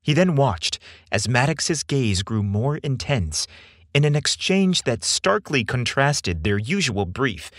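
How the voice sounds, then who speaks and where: A man narrating a scripted story as a podcast storyteller, with measured, theatrical and deliberate phrasing. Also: mid-range voice, a bit bright, no room sound, clean studio sound.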